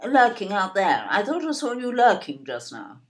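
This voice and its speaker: A woman's voice: mid-range voice, wildly swooping pitch, normal speed. A woman with a British accent playing a snobbish, self-absorbed older wife and mother in an audio play, genteel, fussy and disparaging. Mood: disdainful.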